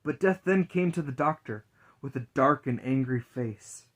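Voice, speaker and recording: A man reads a fairy tale aloud as a storyteller. His voice is mid-range with a husky, breathy grain. The narration is scripted and delivered as a dramatic reading. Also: medium pitch, a little slow.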